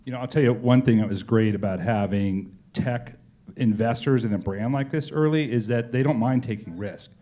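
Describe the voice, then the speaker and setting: A man's voice: medium pitch, normal speed, mellow. A man speaking as a company executive in a casual on-stage interview, telling colloquial stories with frequent asides.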